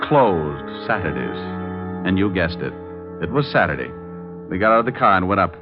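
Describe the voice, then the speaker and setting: Wisecracking American gumshoe: wry, flippant, animated delivery, muffled old broadcast sound. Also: male voice, sits low. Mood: dry narration. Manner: wry, flippant, quick with quips. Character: wisecracking, sardonic male private detective. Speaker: lead actor and narrator. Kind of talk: 1940s-50s American radio detective acting. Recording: dull old radio recording with music.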